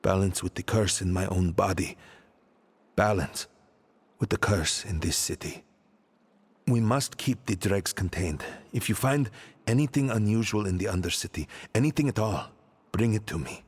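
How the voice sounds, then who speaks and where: A man playing a grave, stoic, guilt-ridden warrior in a fantasy video game, speaking in a measured, halting way. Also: on the low side, husky. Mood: solemn, resolute. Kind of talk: video game dramatic dialogue.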